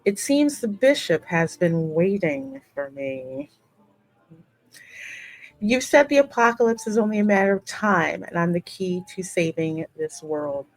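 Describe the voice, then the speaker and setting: A woman hosting a gaming channel, reading game text aloud and adding expressive, informal commentary. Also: mid-range voice, measured pace, creaky, no echo, rough recording.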